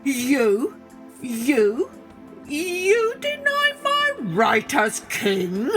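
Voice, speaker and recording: A woman's voice: medium pitch, animated, slow pace, fairly bright tone, soft background music. A woman voicing a proud, blustering male lion in a storybook reading, with a roaring, pompous manner. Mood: indignant.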